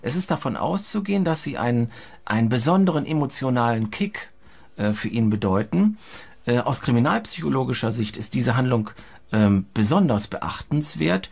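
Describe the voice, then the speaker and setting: Hesitant male academic expert, lively intonation. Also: medium pitch, moderate pace, creaky, breathy edge. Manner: academic, hesitant with many fillers. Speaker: criminologist and expert interviewee. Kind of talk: formal expert commentary.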